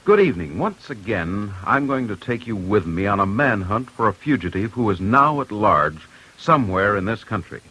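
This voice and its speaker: An American man hosting an old-time radio crime program, narrating from a script in a grave, deliberate way. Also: on the low side, lively intonation, bright, forceful tone, husky, poor audio. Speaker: radio host, investigator. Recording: old radio broadcast, faint music.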